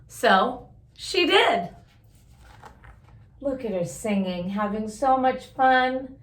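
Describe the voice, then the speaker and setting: A woman reading a picture book aloud to young listeners, with expressive drawn-out emphasis and chatty asides. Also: fairly high pitch, roomy sound. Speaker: children's story-time host reading a picture book aloud.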